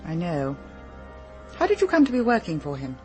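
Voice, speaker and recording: An actress playing a cool, haughty English secretary in a radio drama, speaking formally and with composure. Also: female voice, on the low side, huge pitch swings, moderate pace, warm tone, faint music behind. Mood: cool, probing. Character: cool, brisk, haughty female secretary to a powerful man. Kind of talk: British radio drama acting.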